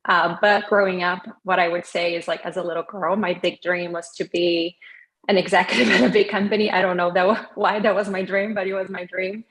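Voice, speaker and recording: A woman's voice: fairly low pitch, little pitch movement, a little fast, a bit bright. A woman from Brazil, speaking as a panelist, telling her career story in an earnest, reflective way with light laughs. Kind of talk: panel discussion, personal story.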